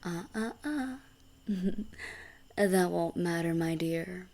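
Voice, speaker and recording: Slow, gravelly female assassin, acted by a woman. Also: fairly low pitch, darkish tone. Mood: sly, bargaining. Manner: mocking, unhurried, breathy chuckles. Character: smug, taunting female assassin, coolly seductive villain. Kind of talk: fantasy audio roleplay acting.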